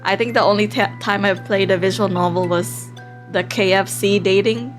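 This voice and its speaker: A woman live streaming a game in a casual, excitable, giggly way, reading text aloud and reacting expressively as she goes. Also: mid-range voice, moderate pace, faint music behind. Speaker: livestream host.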